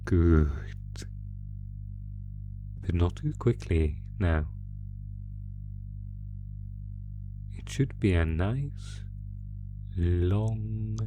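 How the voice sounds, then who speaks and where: A man voicing a sadistic, theatrical monster who taunts a prisoner in a horror roleplay, talking with drawn-out pauses. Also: low voice, mellow. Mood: gloating, taunting. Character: sadistic, gloating male monster stalking its prisoner through a maze. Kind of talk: ASMR horror roleplay audio drama.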